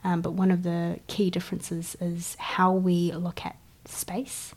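A woman guest in an interview, speaking hesitantly with many pauses and fillers in a soft, breathy way. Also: fairly low pitch, monotone. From China. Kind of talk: casual interview conversation.

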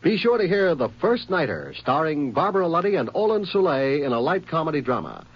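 A male network announcer in the 1950s radio manner. His voice is a little high and very animated in pitch, reading promos. The recording sounds dull and dated. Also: fairly quick, breathy edge.

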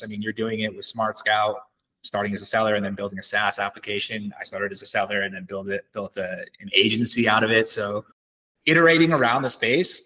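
Guy guesting on a business podcast, lower voice but bright and punchy, explains stuff casually. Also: poor audio. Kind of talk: casual conversational interview.

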